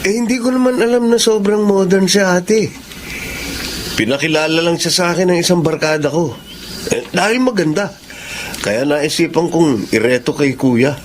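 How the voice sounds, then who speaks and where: A man's voice: on the high side, normal speed, slight background noise, lightly acted. A man playing an easygoing married younger brother in a radio drama, casual and conversational. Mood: defensive.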